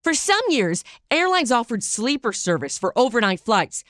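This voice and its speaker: A woman's voice: a little high, very animated, normal speed, a bit bright, dry recording, studio quality. An American woman hosting a podcast, narrating scripted but informal history in a conversational storytelling way with wry asides. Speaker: podcast host.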